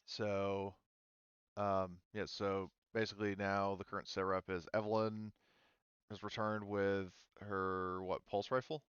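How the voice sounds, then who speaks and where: A man's voice: on the low side, flat delivery, unhurried, a bit bright, clean tone, no room sound. A man running a casual online tabletop role-playing game as game master, explaining in hesitant pieces. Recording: dull, slightly muffled audio.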